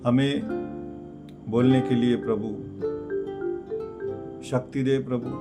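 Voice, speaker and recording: A man praying and then telling his personal testimony, with a halting delivery that keeps repeating words of address to God. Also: medium pitch, little pitch movement, loud music behind the voice. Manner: slow, halting delivery with many repeated "Lord" and "Father" fillers. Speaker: preacher giving a testimony.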